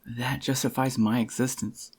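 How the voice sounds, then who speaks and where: Gravelly soft-spoken possessive boyfriend, acted by a man. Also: medium pitch, fairly even intonation. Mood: devoted, adoring. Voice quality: groggy, gravelly. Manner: tender, slow reassuring endearments to a lover. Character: possessive, devoted yandere boyfriend, tender and obsessive. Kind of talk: soft-spoken ASMR roleplay, intimate personal attention.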